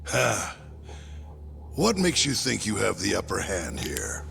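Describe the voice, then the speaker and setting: A menacing middle-aged gangster boss, with a low, heavily fried voice that swings widely in pitch. He sneers and threatens in cinematic crime-drama style over prominent music. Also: huge pitch swings, slow, exaggerated voice. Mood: sneering. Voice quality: gravelly. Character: menacing, foul-mouthed middle-aged yakuza family captain. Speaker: voice actor in a video game dub. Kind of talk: cinematic crime game acting.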